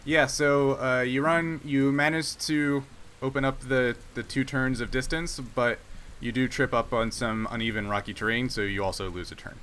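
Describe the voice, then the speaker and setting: A man's voice: mid-range voice, steady tone, moderate pace, a bit bright. A man acting as game master, casually narrating and ruling on a tabletop game. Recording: clear background noise.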